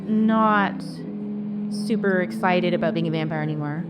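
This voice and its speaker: A woman streamer giving casual, expressive, reactive commentary while she plays a game. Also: fairly low pitch, moderate pace, music in the background. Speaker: gaming streamer.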